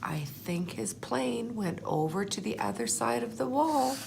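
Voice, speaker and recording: A woman reading a picture book aloud expressively, pausing to comment on the pictures. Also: a little high, animated, creaky, a little mellow, slight background noise, rough recording. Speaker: school superintendent reading aloud.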